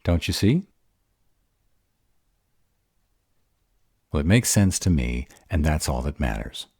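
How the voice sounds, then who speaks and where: A man's voice: a bass voice, very animated, normal speed, a little mellow, slightly rough, dry recording, clean studio sound, full character voice. A man playing a grumpy, insecure were-dragon in a romantic roleplay audio drama, hesitant and defensive as he courts his mate. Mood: anxious, tender. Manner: hesitant, defensive, self-doubting.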